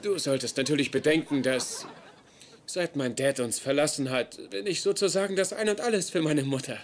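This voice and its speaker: An actor playing an excitable young mama's boy in a dubbed sitcom, dramatic and self-justifying. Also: fairly high pitch, normal speed, a faint crowd, natural voice. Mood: sheepish, evasive. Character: excitable, defensive young man devoted to his mother.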